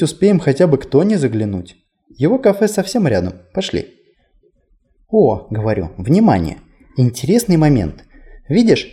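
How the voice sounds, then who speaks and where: A man's voice: mid-range voice, mellow, close to an everyday voice. A man voicing a whimsical, chatty male tour guide in an audiobook, conversational, playful and self-deprecating. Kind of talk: audiobook character dialogue.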